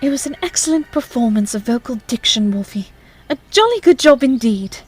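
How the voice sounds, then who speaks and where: Woman voicing a genteel young wife, formal, high, lively, faint music behind. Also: fairly quick, breathy edge. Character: prim, affectionate young wife, teasing. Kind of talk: amateur audio drama.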